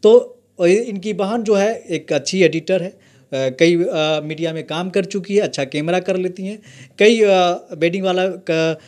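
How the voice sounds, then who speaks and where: A man, a journalist explaining things in an interview, halting with many fillers. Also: sits high, darkish tone. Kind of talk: interview chat.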